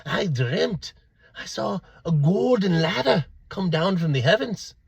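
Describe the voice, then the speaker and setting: Man acting elderly male priest, storybook figure, fervent, declamatory, husky, swooping pitch. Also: lively intonation, unhurried, no echo, heavily acted. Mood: rapturous, building.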